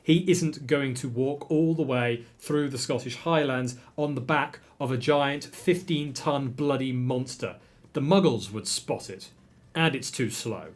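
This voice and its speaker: A man's voice: mid-range voice, a little slow, close to an everyday voice. A man reading a weary young sports captain. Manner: tired, flatly explaining.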